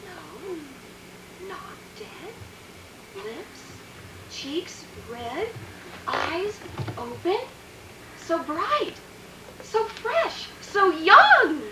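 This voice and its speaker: A woman playing a solemn, fearful doctor in a radio drama, halting, dramatic and intense. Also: very high-pitched, very animated, heavily acted. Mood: frantic, anguished. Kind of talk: theatrical radio drama acting. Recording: noisy, echoey, distant radio recording.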